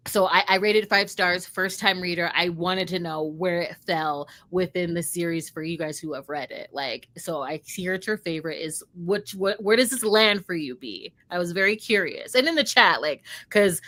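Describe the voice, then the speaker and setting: A woman's voice: on the low side, normal speed, few pauses, a bit bright. A woman co-hosting a book readalong, talking in a gushing, exclamatory way in casual, enthusiastic chat.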